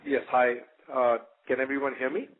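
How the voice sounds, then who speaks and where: A man speaks as an executive in a semi-formal, appreciative address. His mid-pitched voice stays very even, with halting phrasing. It comes over a narrow, tinny phone line. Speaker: company executive. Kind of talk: semi-formal thank-you address on a conference call.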